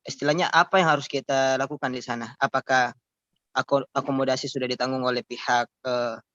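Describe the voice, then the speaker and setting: A man's voice: medium pitch, steady tone, quick pace, a bit bright, dry recording. A man asking a question in an online webinar, polite and hesitant. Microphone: video call. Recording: dull lo-fi online call audio.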